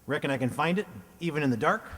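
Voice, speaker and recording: A man's voice: a little high, animated, fast talker, fairly bright tone, big-room sound. A man playing a skeptical small-town Western police chief in old-time radio style, exclaiming in rustic speech. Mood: won over.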